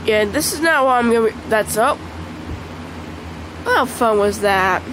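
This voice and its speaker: A woman with a congested voice chatting casually over mobile gameplay, excitable and squealing at the game. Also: a little high, a little slow, noisy background. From Michigan. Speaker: gaming channel host. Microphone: phone screen recording.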